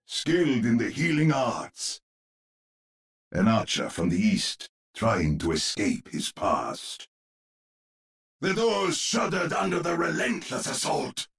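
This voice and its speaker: An actor voicing an old male storyteller with a gravelly, husky voice, narrating a dark fairy tale for a game in an ominous, measured way. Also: low-pitched, exaggerated voice. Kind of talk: dramatic scripted narration.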